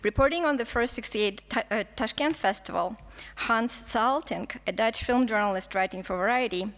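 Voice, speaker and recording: A woman's voice: fairly high pitch, moderate pace, a bit bright, in a large hall. A woman with a Russian accent giving an academic conference talk, reading with frequent stumbles, restarts and fillers. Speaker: film historian lecturer.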